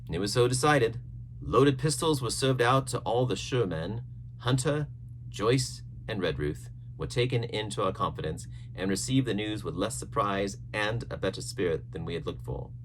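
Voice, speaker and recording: A man reading an adventure novel aloud as scripted, expressive storybook narration. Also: fairly low pitch, normal speed, slight background noise, poor audio. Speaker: audiobook narrator.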